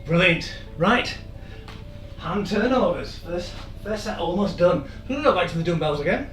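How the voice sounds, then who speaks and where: A man, hoarse and losing his voice, leading a live-streamed workout with chatty, encouraging asides. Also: high-pitched, animated, moderate pace, fairly bright tone, far from the mic. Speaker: fitness instructor. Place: room in an old house. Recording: echoey room, off-mic, music behind.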